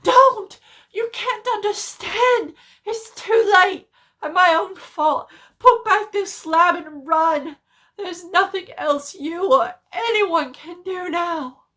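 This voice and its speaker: A woman voicing a doomed man in a horror story, urgent and despairing, shouting warnings over a telephone. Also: very high-pitched, takes it slowly, voice pushed up, exaggerated voice. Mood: panicked, screaming. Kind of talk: dramatic horror story reading.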